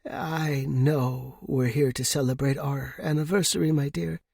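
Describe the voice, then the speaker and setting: A man's voice: mid-range voice, fairly even intonation, measured pace, gravelly, darkish tone, no echo, studio quality, voice pushed up. A man voicing a genteel older wealthy lady in an audiobook, gracious but fretful, with mannered, polite, delicate speech. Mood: delicately worried. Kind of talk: audiobook character voice.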